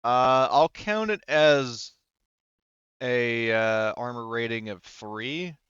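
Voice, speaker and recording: A man's voice: medium pitch, animated, takes it slowly, fairly bright tone, smooth, no room sound. A man talking casually and informally as game master of a tabletop role-playing game, thinking through rules aloud, with slightly muffled audio. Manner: informal, occasionally swearing. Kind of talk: casual game-running chat over rules and dice.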